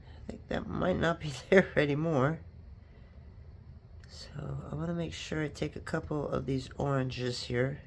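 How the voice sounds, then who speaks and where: Crafter talking while working, a bit stuffed up, rambly and chatty, middle pitch. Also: fairly even intonation, unhurried, creaky, slight background noise. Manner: chatty and rambling, with frequent asides. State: sniffly, stuffed up from allergies or a cold. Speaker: craft vlogger. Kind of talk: casual tutorial chat while working.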